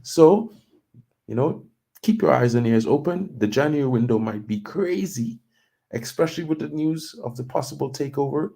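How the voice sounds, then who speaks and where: A man's voice: mid-range voice, expressive, moderate pace, vocal fry, dark tone, husky. A man from Trinidad and Tobago hosting a casual football news show, chatty and loose, thinking aloud with plenty of "you know".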